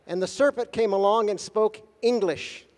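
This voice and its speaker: A man giving a formal conference lecture in measured, deliberate phrases with gentle humor, pausing for an interpreter. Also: high voice. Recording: long hall reverb.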